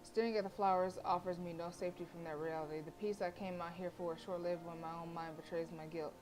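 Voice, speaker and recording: A woman game streamer reading visual novel narration aloud, expressive, with casual blunt reactions. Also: on the low side, fast, poor audio.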